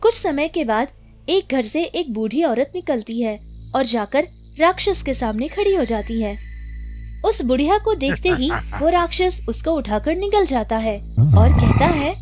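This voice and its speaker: A woman narrating a children's cartoon story in a steady storytelling manner. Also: high voice, unhurried, soft background music.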